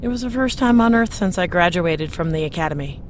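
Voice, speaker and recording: A woman's voice: fairly low pitch, lively intonation, fairly quick, a little hiss or hum, lightly acted. A woman playing a loyal, caring starship first officer in a science fiction audio drama. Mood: friendly.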